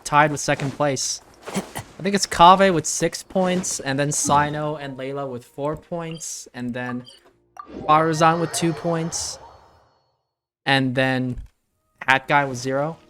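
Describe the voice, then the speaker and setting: A reaction video host giving casual, halting commentary and correcting themselves as they go. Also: mid-range voice, fairly bright tone, faint music behind.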